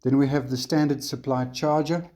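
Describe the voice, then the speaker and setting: A man with a South African accent hosting a hobby channel, walking viewers through a kit in a relaxed tutorial style with frequent ums and uhs. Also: medium pitch. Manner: relaxed, unhurried, frequent fillers. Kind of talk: casual tutorial walkthrough on camera.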